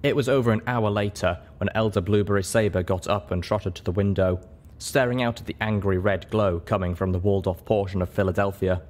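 A man's voice: lowish voice, steady tone, fairly quick, a little mellow. A man narrating fiction expressively as an audiobook reader.

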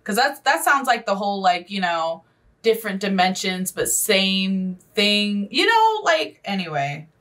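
A woman's voice: mid-range voice, big pitch swings, measured pace, a bit bright, recorded from a distance. Casual woman reacting, off the mic. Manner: informal, thinking aloud. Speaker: reaction video host. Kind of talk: casual off-the-cuff reaction commentary.

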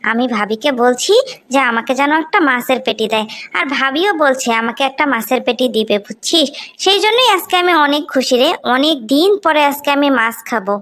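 Meek little sister for a cartoon moral tale, played by a woman: Bangladeshi accent, lightly high, wistful. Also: lively intonation, fairly quick, a bit bright. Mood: happy, hopeful. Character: meek, wistful young sister longing for a treat. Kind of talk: animated moral story dialogue.

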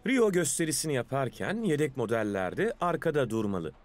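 A man voicing a brisk show manager in a dubbed cartoon, giving orders to the cars. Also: medium pitch, very animated, music in the background, natural voice. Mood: bossy. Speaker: voice actor. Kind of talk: Turkish cartoon dub.

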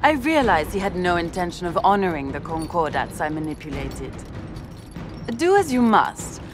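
Haughty, bitter duchess. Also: female voice, fairly low pitch, sing-song, moderate pace, music in the background. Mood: defiant. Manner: haughty, formal, scornful. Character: haughty disgraced grand duchess, defiant and bitter. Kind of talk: cinematic fantasy game dialogue.

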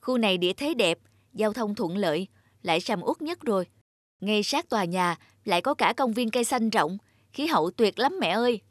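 A woman's voice: mid-range voice, animated, moderate pace, no room sound, clean studio sound, natural voice. Woman acting as scheming, persuasive young man. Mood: persuasive, eager. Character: scheming young adult son deceiving his mother. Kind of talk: cartoon dubbing.

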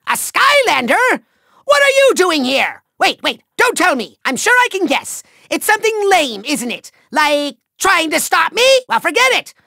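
Casting: childish megalomaniac video game overlord, shrill and forceful with a gritty rasp, theatrical, gloating, quick to whine. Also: male voice, big pitch swings, no room sound, studio quality, exaggerated voice. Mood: irritated. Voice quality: shrill, gravelly. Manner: grandiose, gloating, mocking, whiny outbursts. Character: pompous small male evil overlord, childish, hot-tempered, megalomaniac.

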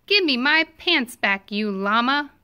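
A woman's voice: fairly high pitch, expressive, unhurried, bright, forceful tone, smooth. Woman reading as an indignant little storybook girl. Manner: firm, indignant. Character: indignant little girl shooing animals away.

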